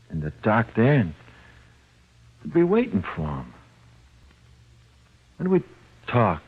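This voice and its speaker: An actor playing a frightened, childlike condemned prisoner with slangy, uneducated speech in 1940s radio drama style. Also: male voice, on the low side, slightly rough, heavily acted. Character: frightened, childlike condemned male convict awaiting execution. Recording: muffled, dull old radio recording.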